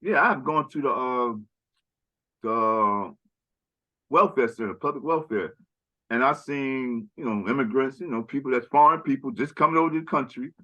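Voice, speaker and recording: A man's voice: mid-range voice, normal speed, bright, forceful tone, lo-fi sound. A man speaking in a casual conversational chat as a podcast guest, rambling and often tagging "right?" and "you know".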